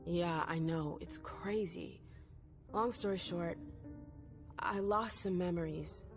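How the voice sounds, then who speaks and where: A woman's voice: lowish voice, takes it slowly, gravelly. A woman playing a stubborn, wounded female vampire in a video game, speaking in a cool, skeptical, insistent way. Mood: troubled, hesitant. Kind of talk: video game cutscene dialogue acting. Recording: game music underneath. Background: background music.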